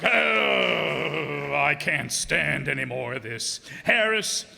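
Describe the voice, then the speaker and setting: A man's voice: a little high, animated, slow pace, creaky, sharp tone, long reverb tail, a little hiss or hum, heavily acted. A man playing a pompous, easily exasperated boss in a radio comedy, formal and dry, given to sputtering. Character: Mister Scott, fussy, exasperated, pompous male boss. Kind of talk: old-time radio comedy acting before an audience.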